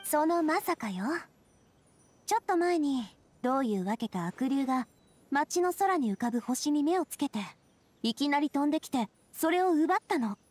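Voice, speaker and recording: A woman's voice: high voice, expressive, moderate pace, a little mellow, no room sound. A woman playing a confident, cheerful young leader in an anime game, breezy and self-assured. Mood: matter-of-fact. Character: Navia, confident cheerful young woman, boss made fairytale king. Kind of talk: Japanese anime game voice acting.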